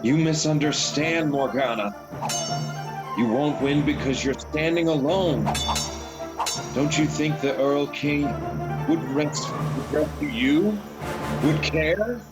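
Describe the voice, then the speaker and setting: An actor playing a noble, earnest young king in a fantasy radio play, measured and solemn. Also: on the high side, over loud music. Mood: stern.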